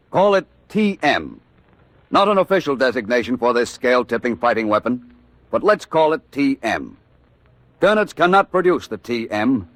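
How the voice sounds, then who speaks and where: A man announcing in an emphatic 1940s propaganda radio style with rhetorical pauses. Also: mid-range voice, a bit bright, no room sound.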